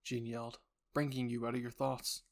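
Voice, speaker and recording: A male narrator tells a scripted roleplay story in the second person. His voice is medium in pitch with a noticeable gravelly creak. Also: flat delivery, normal speed. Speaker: narrator and voice actor.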